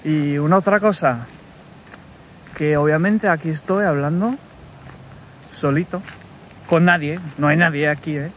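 Slow, halting Englishman walking outdoors. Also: fairly high pitch, slight background noise. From England. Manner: halting, self-correcting, searching for words. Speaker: language learner recording a practice podcast. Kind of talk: casual spoken practice monologue. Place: wooded countryside path outdoors. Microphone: phone held at arm's length while walking.

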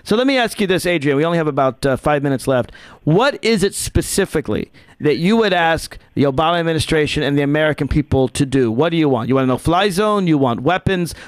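Radio host guy, kinda high voice, dry mic, keeps pushing guests for specifics. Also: animated, fast, clean tone, no room sound. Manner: presses guests with pointed questions. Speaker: radio talk show host. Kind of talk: talk radio interview. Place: radio studio.

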